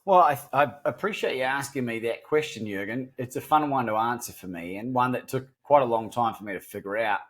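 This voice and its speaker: A middle-aged man whose accent blends New Zealand and Australian, speaking at an even middle pitch. He tells his story candidly, earnest and self-deprecating, often restarting his sentences. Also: fairly quick, hardly any pauses. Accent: mixed New Zealand and Australian. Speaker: podcast guest.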